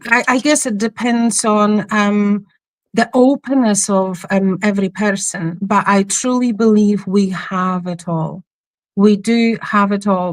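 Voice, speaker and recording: A woman's voice: medium pitch, no room sound. A woman with a Polish accent tinged with Scottish, a spiritual healer guest speaking hesitantly with many ums. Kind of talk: interview guest.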